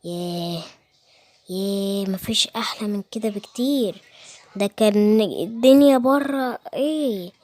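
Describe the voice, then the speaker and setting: A lazy cartoon lion king lounges and grumbles, then barks orders in a low, easy tone, as a woman plays him. Egyptian accent, dry and close to the mic. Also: measured pace, dry recording, close to an everyday voice. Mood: contented. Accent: Egyptian Arabic. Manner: relaxed, grumbling, bossy.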